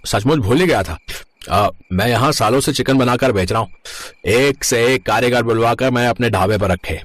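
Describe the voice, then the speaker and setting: A man's voice: medium pitch, dry recording, close to an everyday voice. A man voicing a greedy, bossy roadside eatery owner with a blunt manner in a moral story cartoon. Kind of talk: animated moral story dialogue.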